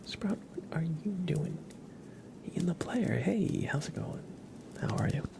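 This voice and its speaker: Whispering man, slow and gravelly. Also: on the high side, very animated. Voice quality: whispery, breathy soft voice. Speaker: livestream host. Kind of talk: ASMR livestream chat.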